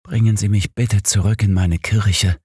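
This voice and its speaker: Man acting a shaken, monotone cardinal. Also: lowish voice, unhurried, breathy edge, no room sound, clean studio sound, voice pushed up. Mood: numb, shaken. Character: shaken, devout male cardinal.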